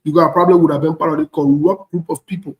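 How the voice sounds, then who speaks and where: A West African man with a fairly high voice rants about politics in an echoey room. He speaks bluntly and indignantly, hammering phrases through repetition. The delivery is unscripted, like an online commentator. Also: fairly even intonation, rapid-fire. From Ivory Coast.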